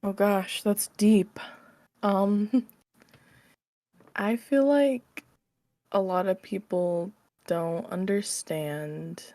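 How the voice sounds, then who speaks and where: A woman's voice: mid-range voice, warm tone, no room sound. A young adult woman chatting casually as an interview guest, giggly and informal, often hedging what she says. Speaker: podcast guest, audio roleplay creator.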